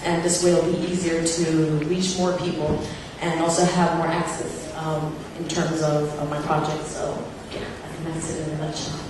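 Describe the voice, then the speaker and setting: A woman's voice: sits low, completely flat delivery, normal speed, few pauses. A woman from Tanzania giving informal, unscripted remarks as a participant in a conference discussion. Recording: roomy, distant mic, noisy hall.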